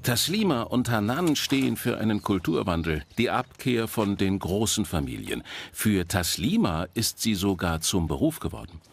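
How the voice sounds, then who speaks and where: A man's voice: on the low side, big pitch swings, normal speed, creaky, a little hiss or hum. A man giving an explanatory, emphatic documentary lecture. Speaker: presenter lecturing with statistics. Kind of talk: documentary lecture voice-over in German dub.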